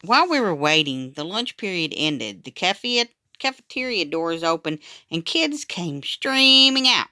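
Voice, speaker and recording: A woman reading a children's story aloud in an animated, expressive way, acting out the dialogue as she goes. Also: on the low side, unhurried, few pauses, no echo, studio quality.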